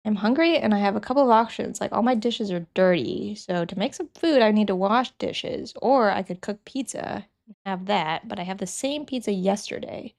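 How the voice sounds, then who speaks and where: Sniffly young woman with a gravelly voice. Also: mid-range voice, expressive, normal speed, darkish tone. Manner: chatty, reads chat aloud and thinks aloud while working. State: sick and sniffly. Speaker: streamer. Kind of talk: casual live-stream chat.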